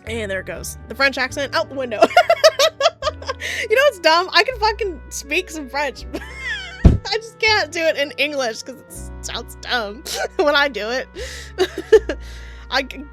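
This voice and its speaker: A woman reads through a visual novel, switching between narration and playful, self-mocking asides. Her voice sits slightly high. Soft game music plays quietly behind her. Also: big pitch swings, measured pace. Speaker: gaming video creator. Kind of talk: reading a visual novel aloud with casual asides.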